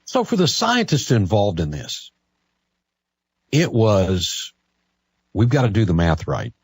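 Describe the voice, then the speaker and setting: An American man works as a live talk radio host, interviewing and taking calls. His voice is on the low side and rough-edged, recorded dry and close. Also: big pitch swings, slow pace, dry recording.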